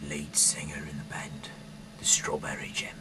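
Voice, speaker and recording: A man reads aloud informally, rambling in a halting, bumbling, irreverent way, in an amateur home recording. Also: extremely low pitch, monotone, whispered. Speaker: amateur reader of scripture, self-styled rock singer.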